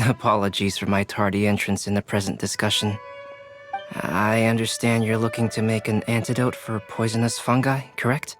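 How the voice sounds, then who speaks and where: A man's voice: lowish voice, little pitch movement, over music, natural voice. Man playing a courteous, formal young scholar. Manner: formal, measured, polite. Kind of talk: video game voice acting.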